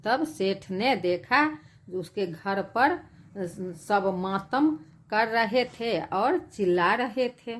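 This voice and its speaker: Female folk tale narrator for animation: mid-range voice, extremely unhurried, halting. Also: medium pitch, lively intonation. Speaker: storyteller.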